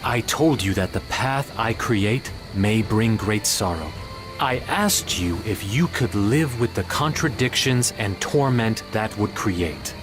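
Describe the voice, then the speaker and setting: A man playing a calm, probing strategist in a dramatic video game, speaking in a composed, formal, deliberate way. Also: fairly low pitch, over music. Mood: solemn.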